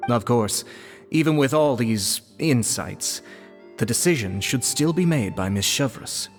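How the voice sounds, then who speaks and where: A level, mid-pitched voice, a courteous noble older brother who speaks in calm, formal sentences. Faint game music runs quietly behind him. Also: male voice, a little slow.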